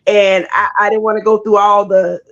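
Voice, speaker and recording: A woman's voice: mid-range voice, flat delivery, moderate pace. Playful woman host, slightly muffled sound. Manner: playful, folksy, affirming. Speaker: host of an interview show. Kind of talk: casual chat.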